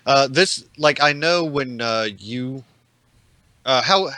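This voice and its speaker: A man chatting casually as a podcast panelist, in short, halting phrases. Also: medium pitch, pushed and bright, dry recording. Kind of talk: casual hobby chat.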